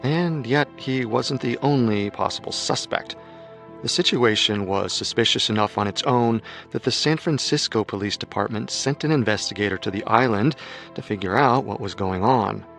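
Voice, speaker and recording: A man narrating a true-crime story in a measured, scripted storytelling manner. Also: fairly low pitch, vocal fry, fairly bright tone, soft background music.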